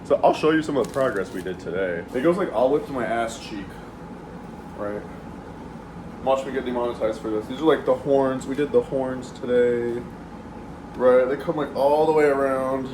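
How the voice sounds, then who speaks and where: A man's voice: medium pitch, moderate pace. A man chatting casually while he eats, rambling and swearing freely, with lots of fillers. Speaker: vlogger eating on camera. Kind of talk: casual chat while eating. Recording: steady air conditioning hum.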